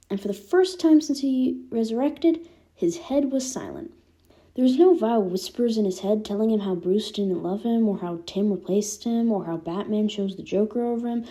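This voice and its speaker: A woman's voice: a little high, mellow. A woman reading fan fiction aloud as scripted narration. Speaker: podfic reader.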